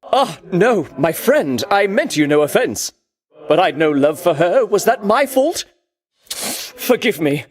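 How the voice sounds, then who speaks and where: A man's voice: sits high, sing-song, takes it slowly, heavily acted. An actor voicing a pompous, boastful dead Greek hero-king for a video game, with ringing, declamatory delivery and grandiose archaic diction. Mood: defensive, apologetic. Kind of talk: theatrical video game voice acting.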